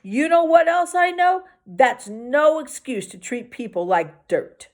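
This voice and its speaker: A woman's voice: high-pitched, animated, unhurried, pitched above the performer's own voice. A woman voicing a defiant, indignant young girl in a children's novel reading. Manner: indignant, emphatic.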